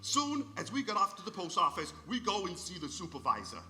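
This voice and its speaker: A bright, chatty postman. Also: high voice, sing-song, fairly quick, faint music behind, exaggerated voice. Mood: excited. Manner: colloquial, folksy. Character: chatty, streetwise working-class male postman. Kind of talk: live radio play acting.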